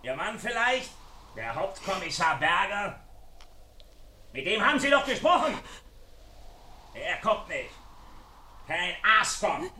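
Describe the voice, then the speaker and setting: A menacing, wounded intruder in an old radio thriller. The voice is high and sharp, swooping widely as he taunts and sneers. It sounds roomy and set back from the microphone. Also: big pitch swings, slow pace, long pauses, far from the mic, slight background noise, exaggerated voice. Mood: mocking menace. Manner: taunting, sneering, falsely familiar. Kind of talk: German radio crime play acting. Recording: vintage radio play, roomy, off-mic, music behind.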